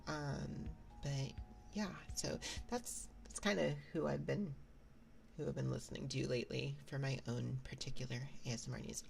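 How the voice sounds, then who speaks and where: A woman with a very deep, gravelly voice chats softly on an ASMR stream, rambling with many fillers and restarts and now and then slipping into a whisper. Music plays clearly behind her. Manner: soft-spoken, drifts into whispers. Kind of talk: soft casual stream chat. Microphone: binaural mic.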